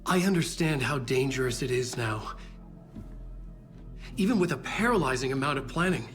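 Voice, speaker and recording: A man playing an anxious, haunted novelist in a supernatural video game, speaking in a brooding, halting, earnest way. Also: medium pitch, animated, a bit bright, lightly acted. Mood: brooding, troubled. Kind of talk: cinematic game monologue and dialogue. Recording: dramatic music behind the voice.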